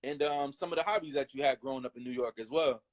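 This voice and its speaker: A man's voice: mid-range voice, fast talker. Friendly slangy male interviewer. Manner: friendly, slangy, filler-heavy questions. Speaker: interviewer and host. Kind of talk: casual interview chat.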